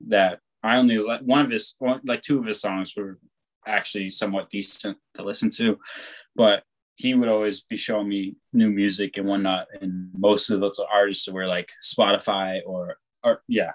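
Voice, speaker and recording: Laid-back young man with a low voice. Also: low-quality recording. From born on the West Coast, raised on the East Coast of the US. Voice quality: loud voice. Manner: rambling, laid-back, swears freely. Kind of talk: casual chat.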